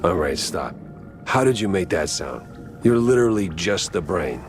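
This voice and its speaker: World-weary private-eye mouse for a noir game: low, dry sarcasm, lively inflection. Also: male voice, moderate pace, soft background music. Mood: sardonic. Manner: deadpan, sarcastic muttering. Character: wry, world-weary hard-boiled mouse private detective. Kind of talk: noir cartoon video game acting.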